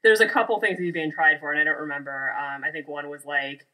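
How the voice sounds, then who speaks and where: Woman, podcast co-host, lowish pitch, bright forward tone, casual chat. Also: fast talker, low-quality recording.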